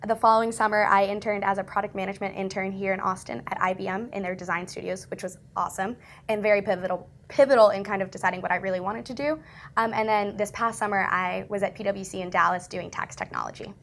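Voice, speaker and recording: Quick, chatty young woman student. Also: mid-range voice, fairly even intonation, fairly bright tone. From South Florida. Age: young adult. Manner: quick, chatty, with frequent ums. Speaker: business honors student. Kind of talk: panel self-introduction.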